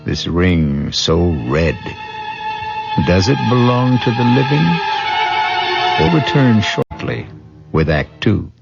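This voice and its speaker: A man's voice: low voice, very animated, takes it slowly, gravelly, long pauses. A man hosting an old-time radio drama with theatrical, sinister narration. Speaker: host. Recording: dull old radio recording, loud music.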